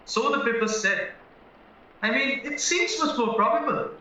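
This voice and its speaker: An actor as a naive young man accused of murder in an audio drama. His voice is high and sharply bright, full of nervous worry, in a very echoey room. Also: unhurried, heavily acted. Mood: disbelieving. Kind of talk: stage-style radio play acting. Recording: echoey, distant, muffled room recording.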